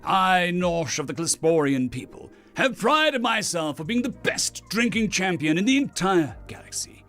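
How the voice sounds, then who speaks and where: A man's voice: high voice, very animated, a little slow, few pauses, fairly bright tone, faint music behind, pitched above the performer's own voice, heavily acted. A man voicing a proud alien drinking champion, narrating a story in a theatrical, boastful, melodramatic way. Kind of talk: dramatic first-person story narration.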